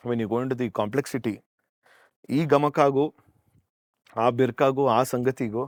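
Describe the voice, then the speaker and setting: A man hosts a talk show, probing his guest with curious questions. He speaks very fast and slips into mid-sentence. The sound is dry and close-miked. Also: medium pitch, steady tone, no echo. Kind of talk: casual interview chat.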